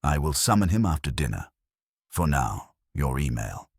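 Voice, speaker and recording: A man's voice: rumbling low, big pitch swings, moderate pace, vocal fry, slightly rough, no room sound, clean studio sound, exaggerated voice. A man voicing an imperious, eccentric master detective in an audiobook, with formal, pompous, precise speech. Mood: imperious, exacting. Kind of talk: acted dialogue in a detective audiobook.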